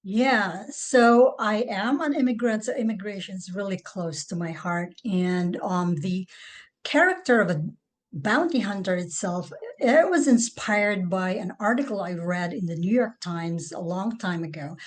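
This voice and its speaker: Older-ish filipino woman, author chatting as a podcast guest, medium pitch. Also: animated, a little slow. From Philippines. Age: middle-aged or older. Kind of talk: conversational interview answers.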